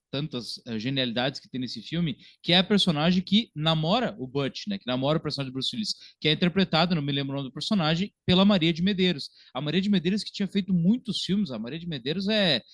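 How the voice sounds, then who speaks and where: Man, high voice, casual film podcast host, explanatory conversational delivery, dry echo-free sound. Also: expressive, quick pace, hardly any pauses, fairly bright tone.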